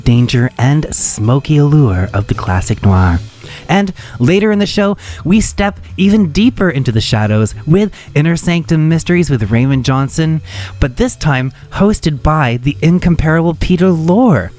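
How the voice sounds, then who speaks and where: A man's voice: a little high, a little mellow. A man hosting a radio show, reading scripted introductions with a theatrical flourish. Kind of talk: scripted narration introducing vintage radio dramas.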